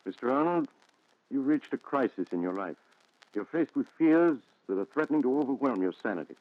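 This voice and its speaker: An actor playing a calm, authoritative doctor in a 1940s radio thriller, speaking in a measured, insistent way. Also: male voice, mid-range voice, no echo, natural voice. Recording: dull 1940s radio recording.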